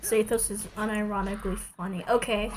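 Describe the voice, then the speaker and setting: A woman's voice: medium pitch, steady tone, brisk, a little hiss or hum. A woman chatting casually as she plays a game on stream, thinking aloud with playful exasperation. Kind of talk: casual gameplay commentary to stream viewers.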